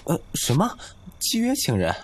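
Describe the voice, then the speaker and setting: A man's voice: on the high side, huge pitch swings, moderate pace, slight background noise. A man playing a proud, flustered young male college student in an audio drama, indignant and protesting. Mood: hesitant. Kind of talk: Chinese audio drama acting.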